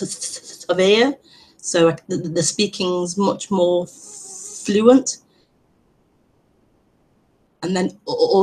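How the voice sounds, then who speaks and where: A woman with a stutter, hosting an online meeting and giving a gentle, reflective spiritual talk with frequent repetitions and blocks. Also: lowish voice, poor audio. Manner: gentle, reflective, laughs lightly at herself. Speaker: non-duality teacher hosting an online meeting. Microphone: online call broadcast.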